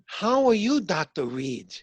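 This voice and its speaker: A woman's voice: on the low side, sing-song, a little slow, slightly rough, dry recording, full character voice. An actress in a radio play, playing a shaken, grave woman, earnest and dramatic in her questioning. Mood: concerned.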